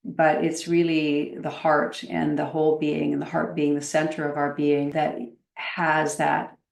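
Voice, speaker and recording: A woman meditation teacher leading a guided meditation in a gentle, unhurried manner with long pauses, in a roomy, echoey recording. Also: deep voice, gravelly.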